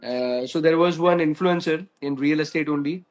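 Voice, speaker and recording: A man's voice: a little high, moderate pace. A man speaking steadily as a panel guest, listing examples. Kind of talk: panel discussion.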